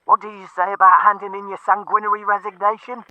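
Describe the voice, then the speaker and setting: A man's voice: sits high, level tone, fast, no room sound, voice pushed up. A man voicing a foul-mouthed, furious police superior who blusters and bellows down a telephone line in an audiobook. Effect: telephone filter. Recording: thin band-limited telephone sound.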